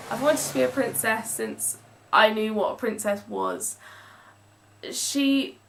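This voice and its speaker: A woman's voice: on the high side, creaky, a bit bright. A young woman with an English accent chatting casually in a gushing, emphatic way, as in a book review vlog. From England. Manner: gushing, emphatic, with drawn-out stressed words. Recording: distant mic with some background noise.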